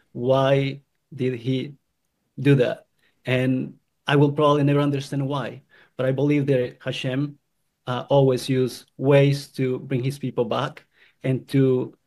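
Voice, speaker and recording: A man from Guatemala telling his own story in an earnest, halting way, often restarting his sentences. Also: mid-range voice, vocal fry, darkish tone. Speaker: convert sharing his story. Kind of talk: personal testimony.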